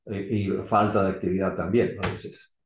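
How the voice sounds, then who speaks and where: A middle-aged man from Argentina, now living in the US, giving an unscripted technical talk on electrical safety in halting, rusty Spanish full of restarts and fillers. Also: low-pitched, level tone, a little hiss or hum. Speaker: electrical safety engineer presenting. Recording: echoey room.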